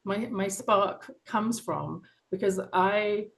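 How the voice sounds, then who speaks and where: A woman's voice: medium pitch, slow pace, creaky, poor audio. A middle-aged woman with a London English accent, an author telling her story earnestly and passionately in an interview. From England. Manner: earnest, passionate, with soft laughs and frequent ums. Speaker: author and guest. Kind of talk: reflective personal interview.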